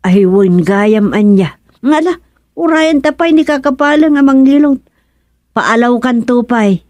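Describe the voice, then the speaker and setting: A woman acting a fussing, exasperated village wife in an Ilocano radio comedy drama, with an expressive, exclamatory delivery. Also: high voice, measured pace, no echo. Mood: urgent, bossy.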